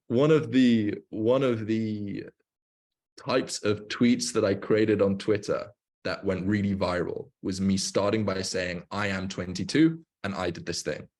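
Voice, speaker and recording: A man's voice: on the low side, unhurried, a little mellow. A man in his twenties hosting a livestream, coaching in an informal, explanatory way with emphatic repetition. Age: 20s. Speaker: livestream host, online business coach. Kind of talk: casual livestream talk and coaching.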